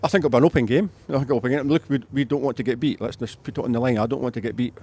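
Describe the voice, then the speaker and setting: A man's voice: mid-range voice, very fast, dark tone, husky. A man speaking as a rugby head coach in a casual pre-match interview.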